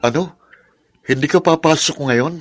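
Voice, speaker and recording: An actor playing a concerned, tired young elder brother in a Filipino radio drama, with a Filipino accent. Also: male voice, fairly high pitch, normal speed, soft background music, close to an everyday voice.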